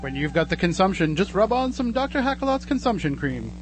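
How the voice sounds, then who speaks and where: An actor playing a sardonic, gleefully macabre narrator and announcer in old-time radio horror style, theatrical and wry. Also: male voice, high voice, big pitch swings, fairly quick, a little hiss or hum. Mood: mock-solemn.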